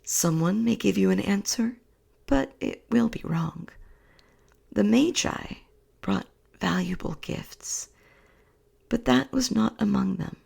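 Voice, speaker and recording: A woman reads a bedtime story in a breathy whisper, very gravelly and husky. Her delivery is slow and soothing, meant to lull a listener to sleep. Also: medium pitch, darkish tone.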